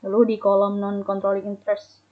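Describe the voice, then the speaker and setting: A woman's voice: mid-range voice, monotone, a little fast. A young adult woman presents as a student, explaining slides step by step and stumbling a little over figures. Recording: muffled, phone-like narrow band.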